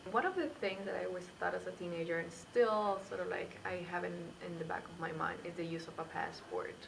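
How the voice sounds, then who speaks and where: Gravelly-voiced woman from Colombia. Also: lowish voice, fairly quick, low-quality recording. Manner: reflective, with repeated restarts. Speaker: graduate student. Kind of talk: personal interview answer. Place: indoor lounge. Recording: audible background hiss.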